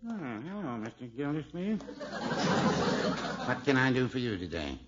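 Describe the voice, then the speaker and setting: An actor playing a mild, slyly needling small-town druggist with folksy, deadpan politeness in a 1950s radio comedy. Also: male voice, medium pitch, a little slow, very noisy. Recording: dull vintage radio recording.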